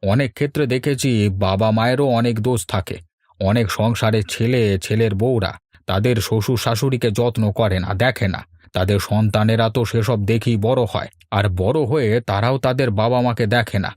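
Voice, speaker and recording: A man's voice: on the low side, moderate pace, a little mellow, no room sound, studio quality, close to an everyday voice. A man voicing a kindly elderly village father-in-law in a cartoon fairy tale, affectionate and given to homely moralizing.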